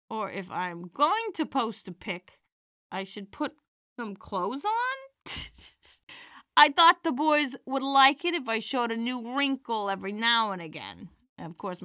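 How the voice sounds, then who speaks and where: A woman playing a feisty, warm-hearted elderly stand-up comedienne in an audio drama, with wry theatrical comic delivery. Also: medium pitch, no room sound, studio quality.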